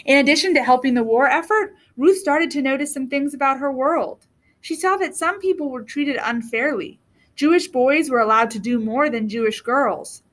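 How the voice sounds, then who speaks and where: A woman reading a children's book aloud as a storyteller, with deliberate, expressive phrasing. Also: sits high. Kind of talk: read-aloud storybook narration.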